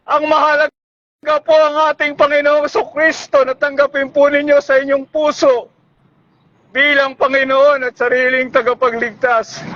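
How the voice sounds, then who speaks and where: A Filipino man preaching an evangelistic street sermon, pleading and calling out loudly to passers-by. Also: extremely high pitch, no room sound, lo-fi sound. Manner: pleading, shouting exhortation. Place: busy public bus terminal.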